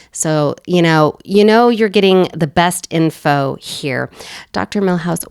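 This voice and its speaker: A woman hosting a casual sex-education chat, hoarse and raspy from a cold, upbeat and playful. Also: lowish voice, dry recording, studio quality. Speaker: host.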